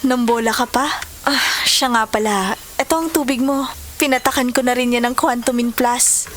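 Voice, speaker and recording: Woman acting a high-voiced, patient, caring stepmother. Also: fairly even intonation, moderate pace, slight background noise, close to an everyday voice. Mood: affectionate. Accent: Filipino. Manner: gentle, soothing. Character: patient, caring, conciliatory stepmother and doting partner. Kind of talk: audio drama acting.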